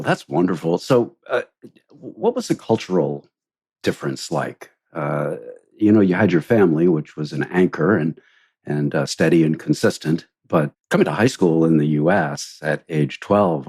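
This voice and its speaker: A man's voice: extremely low pitch, animated, moderate pace, darkish tone, breathy edge. A man hosting an interview, asking friendly follow-up questions with frequent stammered restarts. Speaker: podcast host. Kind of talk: interview conversation.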